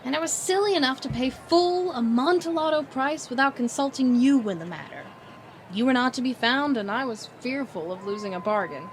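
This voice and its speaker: A woman acting in an audio drama as a scheming aristocrat, speaking formal archaic lines with measured pauses and feigned concern. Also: high voice, expressive, a little hiss or hum. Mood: sly, coaxing. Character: scheming aristocrat feigning solicitous friendship, secretly vengeful.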